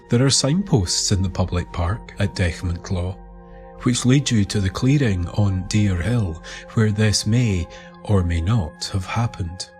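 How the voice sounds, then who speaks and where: A man narrating a scripted documentary story in a measured, deliberate manner. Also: sits low, a little mellow. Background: music.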